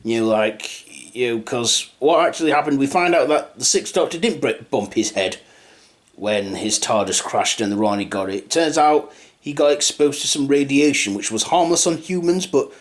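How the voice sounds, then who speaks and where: A man's voice: medium pitch, animated, normal speed. A man giving an unscripted fan review in a rambling, hesitant way, often trailing off and starting again. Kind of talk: unscripted audio drama review.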